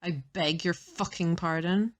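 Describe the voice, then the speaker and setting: Audio drama role: defiant young woman played by an actress, lowish voice, slow and blunt, angrily forceful. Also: steady tone, lightly acted. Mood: indignant. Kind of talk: audio roleplay acting.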